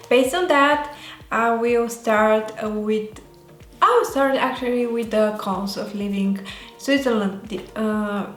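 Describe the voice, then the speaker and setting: A woman with a Bulgarian accent talking casually as a vlogger, in a halting way that often pauses mid-sentence. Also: on the high side. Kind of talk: casual talk to camera. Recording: roomy echo, faint music behind.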